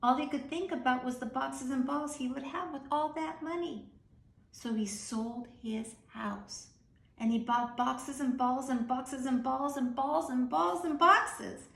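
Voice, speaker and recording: A woman reading a children's story aloud expressively, with dramatic emphasis and some hushed phrases. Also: high-pitched, unhurried, echoey room. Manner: animated, dramatic, dropping into hushed breathy phrases.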